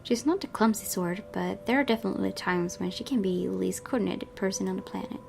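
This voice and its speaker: Woman narrating a game, slightly creaky. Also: medium pitch, fast talker, darkish tone. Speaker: gaming video host reading a visual novel. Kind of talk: expressive story narration with casual let's play asides.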